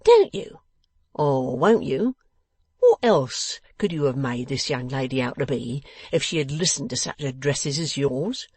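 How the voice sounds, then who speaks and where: A woman voicing a blustering, contemptuous older rich man in an audiobook, loud, mocking and emphatic. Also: a bass voice, moderate pace, few pauses, husky, no room sound. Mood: taunting. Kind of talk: dramatised audiobook reading.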